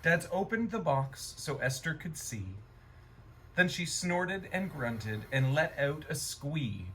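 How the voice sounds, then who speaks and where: A man reading a rhyming children's story aloud in a gentle, expressive storytime manner. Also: a little high, takes it slowly, a little hiss or hum, rough recording.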